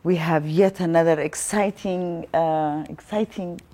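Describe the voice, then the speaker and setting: A woman's voice: fairly low pitch, steady tone, unhurried, warm tone. A woman hosting an interview show, welcoming and questioning her guest in an encouraging way. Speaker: talk show host.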